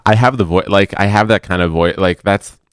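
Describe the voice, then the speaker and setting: A young man speaks in a low, smooth, resonant voice as an interview guest. He answers in a halting way, restarting words and stuttering now and then. The sound is close and dry. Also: dry recording.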